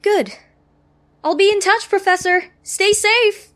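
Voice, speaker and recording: A woman's voice: very high-pitched, slow pace, fairly bright tone, full character voice. A woman playing a taunting, menacing villainess who mocks her victim. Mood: taunting menace.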